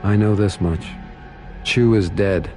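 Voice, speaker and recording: A man's voice: fairly low pitch, takes it slowly, warm tone, soft background music. An actor playing a grim, weary infected soldier in a video game, narrating his thoughts in a brooding, understated, resolute way. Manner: brooding, understated inner monologue.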